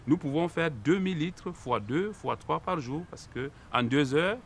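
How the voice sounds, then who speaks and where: Deliberate Cameroonian man, faint noise behind. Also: on the high side, lively intonation. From Far North region of Cameroon. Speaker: dairy industry representative being interviewed. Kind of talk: formal broadcast interview.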